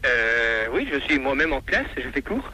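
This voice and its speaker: A man's voice: medium pitch, very animated, moderate pace, sharp tone. A man, a primary school teacher, politely and hesitantly answering a call on a noisy telephone line.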